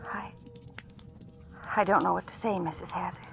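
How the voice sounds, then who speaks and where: An actress playing an anxious, defensive young divorcee in a 1940s radio drama, speaking in a dramatic confessional manner. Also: female voice, on the low side, big pitch swings, moderate pace, gravelly, a bit bright, heavily acted. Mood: hesitant, uneasy. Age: young adult. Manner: confessional, dramatic, hesitant in dialogue. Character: anxious, defensive young divorcee living under false identity. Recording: vintage radio broadcast, music behind.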